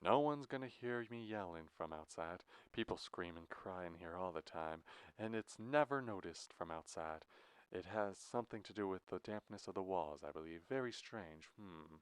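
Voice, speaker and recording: A man's voice: lowish voice, expressive, moderate pace, fairly bright tone, smooth, no room sound, pitched above the performer's own voice. A man voicing a sinister, courteous audiobook villain who stresses every syllable with menacing glee. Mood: polite menace. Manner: over-enunciated, stressing every syllable evenly. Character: sinister, theatrically courteous male villain, madly gleeful.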